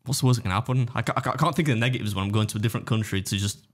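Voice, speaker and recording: Yorkshire man, low voice, quick pace, casual podcast chat, rambling self-deprecating storyteller. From Britain. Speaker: competitive eater and video creator.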